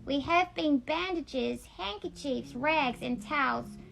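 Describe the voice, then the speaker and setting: A woman's voice: high voice, slow, gravelly, a bit bright, soft background music, exaggerated voice. A woman voicing a hopeful piece of blanket that encourages others in an earnest storybook manner. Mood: hopeful, encouraging.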